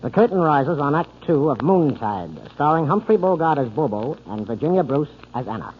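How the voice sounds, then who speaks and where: A man's voice: fairly high pitch, big pitch swings, normal speed, heavy background noise. A man giving scripted narration for a 1940s radio drama. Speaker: announcer and narrator. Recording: muffled 1940s radio broadcast. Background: music.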